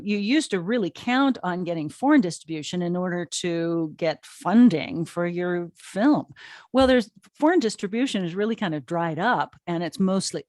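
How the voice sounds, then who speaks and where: Low-pitched woman, close dry sound. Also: a little mellow, no echo. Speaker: interview guest, audio drama streaming co-founder. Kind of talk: conversational interview answers.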